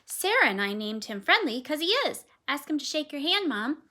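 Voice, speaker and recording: A woman's voice: sits high, very animated, normal speed, pitched above the performer's own voice, heavily acted. A woman voicing an eager, cheerful young boy in a read-aloud storybook. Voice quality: bright and eager.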